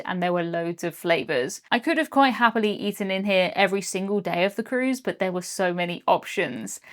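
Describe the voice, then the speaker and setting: Woman, British accent, young adult, mid pitch, chatty, upbeat, scripted vlog narration. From Britain. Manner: upbeat, chatty, lightly jokey. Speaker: cruise vlogger.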